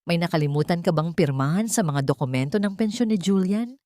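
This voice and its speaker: Casting: female narrator as a cold, threatening lawyer for an audio drama, smooth menace, close dry recording. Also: fairly low pitch, lively intonation, fairly quick, mellow, no echo, natural voice. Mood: coldly threatening.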